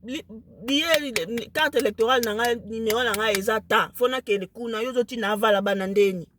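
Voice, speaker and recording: A woman with a Lingala accent, a native Lingala speaker, talking casually to camera, emphatic and excited, switching into French. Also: on the high side, fairly quick, a little hiss or hum. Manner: emphatic, excited, mixes French into her speech.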